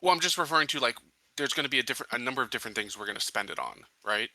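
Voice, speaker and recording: A man playing a tabletop roleplaying game, negotiating conversationally and haltingly as he puts his case. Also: mid-range voice, lively intonation, bright, forceful tone, no room sound, low-quality recording.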